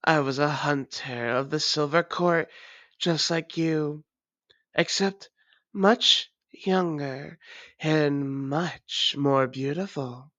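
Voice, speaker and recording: A woman as a slow, menacing vampire ruler. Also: low voice, fairly bright tone, no room sound. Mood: taunting, menacing. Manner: taunting, deliberate, imperious. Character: proud, menacing female vampire ruler, former hunter. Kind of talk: dramatic audio roleplay monologue.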